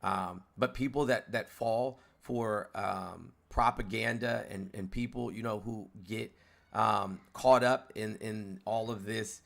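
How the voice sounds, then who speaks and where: A man's voice: lowish voice, steady tone, takes it slowly, vocal fry, a bit bright. A man in his forties hosting a heated live-stream debate, lecturing a caller in a condescending way with many restarts. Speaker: host.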